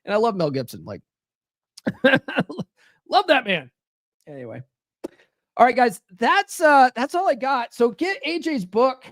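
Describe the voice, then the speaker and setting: A man's voice: extremely high pitch, sing-song, a little slow, long pauses, fairly bright tone, no echo, clean studio sound. A man hosting a podcast, talking loosely and profanely, full of "right?" and "like" fillers. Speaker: podcast host. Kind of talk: casual live-stream monologue.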